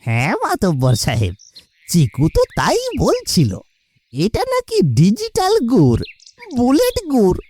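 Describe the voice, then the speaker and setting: A woman's voice: medium pitch, huge pitch swings, measured pace, warm tone. Woman voicing a nervous, swooping cartoon villager. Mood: deferential. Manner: stammering, anxious questions. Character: nervous, deferential villager serving the headman. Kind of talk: cartoon voice acting.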